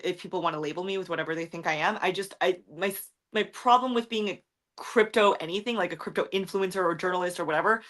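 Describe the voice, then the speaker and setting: A young woman with a deep voice, chatting frankly in a rambling livestream, answering viewer questions with lots of ums, likes and swearing. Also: fairly bright tone. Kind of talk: casual livestream chat.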